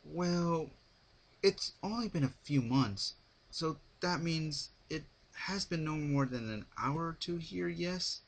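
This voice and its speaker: A man's voice: fairly high pitch, expressive, slow, vocal fry, voice pushed up, exaggerated voice. A man voicing the stubborn, impatient human hero of a fan fiction story, blunt and argumentative. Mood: surprised.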